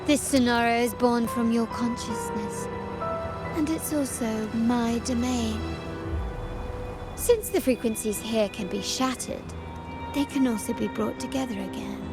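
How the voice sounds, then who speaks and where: A woman playing a silky, mocking villainess in a video game, theatrical and deliberate, born from a heroine's own mind. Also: fairly high pitch. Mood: smug, goading. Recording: game music behind the voice. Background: game music.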